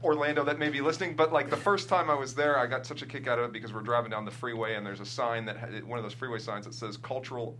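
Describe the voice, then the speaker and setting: American man with a bright, punchy voice. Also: mid-range voice, fairly even intonation, very fast, few pauses, slight background noise. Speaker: screenwriter, interview guest. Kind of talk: casual interview chat.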